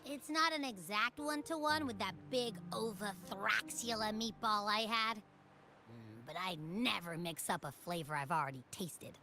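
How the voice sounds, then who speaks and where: A woman voicing a small, excitable creature companion with a big appetite and casual, playful speech in a video game. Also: mid-range voice, unhurried. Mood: curious, casual. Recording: music playing over the voice.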